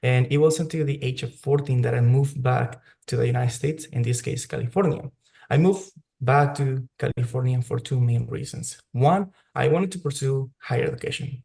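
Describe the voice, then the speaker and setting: A man's voice: medium pitch, normal speed, gravelly, warm tone. A young man who grew up in Mexico, a student leader giving earnest personal testimony at a news briefing over a remote call. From Mexico (grew up there). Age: young adult. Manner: earnest, personal, some restarts.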